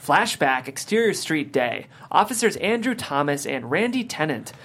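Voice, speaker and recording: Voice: mid-range voice, big pitch swings, moderate pace, a bit bright, husky. A narrator reading out scene headings and stage directions from a screenplay at a table read.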